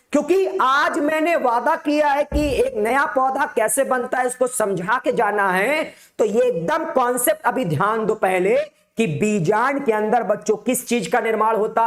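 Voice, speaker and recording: A man with a loud, projecting voice, teaching school students in an online class, emphatically repeating key points and quizzing them with questions. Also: high-pitched. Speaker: biology teacher.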